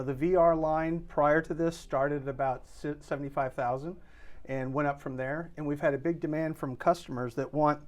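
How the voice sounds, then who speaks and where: A man being interviewed as a company representative, explaining a product conversationally in a halting way that repeats phrase openings. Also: on the high side. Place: hotel exhibit room at an audio show.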